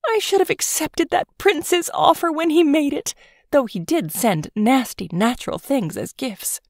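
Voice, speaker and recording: Woman putting on a high, dramatic spoiled princess. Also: measured pace, no echo, clean studio sound. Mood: sobbing, wailing. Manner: huffy, wailing, theatrical.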